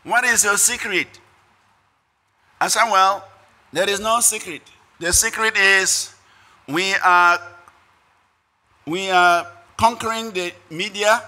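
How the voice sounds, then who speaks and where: Older male preacher, Ghanaian accent, very sharp high voice, phrase-by-phrase conference address at a podium. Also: steady tone, slow pace, pauses often. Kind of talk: prepared speech read at a lectern. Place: conference hall stage. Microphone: podium microphones.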